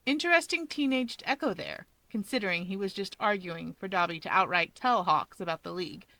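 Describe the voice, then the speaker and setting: A woman reading a story aloud in an expressive narrating style. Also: medium pitch, a little fast, no echo, poor audio. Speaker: fan fiction reader.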